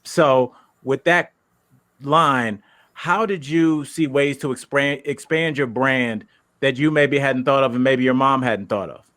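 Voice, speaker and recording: A man's voice: medium pitch, unhurried, a bit bright, no room sound, studio quality. A man co-hosting a podcast, asking questions and joking around. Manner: joking, laughs often. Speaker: podcast co-host. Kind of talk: casual podcast interview.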